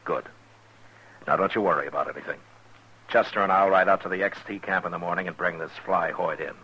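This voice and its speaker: An actor playing a calm, authoritative western marshal in an understated, reassuring manner, in 1950s radio drama style. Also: male voice, sits low, fairly quick, husky, exaggerated voice. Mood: reassuring, questioning. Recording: dull vintage 1950s radio recording.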